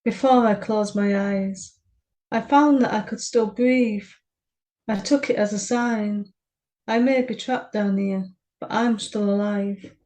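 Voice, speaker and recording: Woman poet, warm mellow tone, measured reading, dry wit. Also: mid-range voice, steady tone. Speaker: podcast co-host and poet. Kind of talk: reading her own poem and casual chat.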